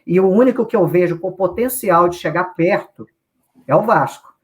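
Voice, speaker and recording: A man's voice: sits high, moderate pace. A man from Brazil with a Brazilian accent, a guest giving casual football analysis, opinionated and swearing casually, often restarting his sentences. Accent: Brazilian Portuguese.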